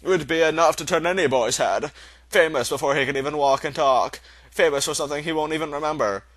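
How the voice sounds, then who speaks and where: A man voicing a calm, wise old male headmaster in a storybook reading, speaking in a measured, firm, assured way. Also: a little high, no room sound.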